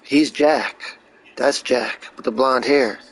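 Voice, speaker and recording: A man's voice: mid-range voice, steady tone, slow, a bit bright, husky, low-quality recording. A man giving casual, informal commentary over video game cutscenes, explaining things like a fan and swearing freely. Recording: game soundtrack music behind the voice. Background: video game cutscene music.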